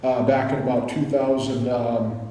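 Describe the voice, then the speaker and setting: A man's voice: mid-range voice, normal speed. A man in his thirties, originally from Kuwait, telling war stories as a podcast guest and acting out the voices of the people he quotes. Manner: animated storyteller who acts out quotes. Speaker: guest, behavior analysis instructor. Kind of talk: casual podcast storytelling. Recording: echoey room with long reverb.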